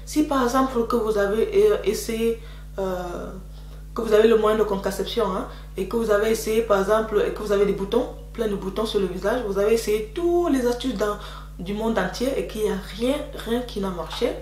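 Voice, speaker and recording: A woman's voice: medium pitch, fairly quick, a little mellow. A young woman vlogging casual advice, a bit congested from a cold, talking in a chatty, repetitive way with many fillers. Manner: chatty, repetitive, frequent "donc voilà" fillers. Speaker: beauty vlogger. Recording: echoey room with faint hum.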